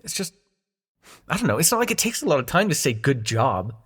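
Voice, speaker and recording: A man's voice: medium pitch, big pitch swings, a little fast, fairly bright tone, husky. A man acting in an intimate audio roleplay as a young god of dreams, sarcastic and wounded but fond, talking in casual, sweary modern slang. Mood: subdued, hurt.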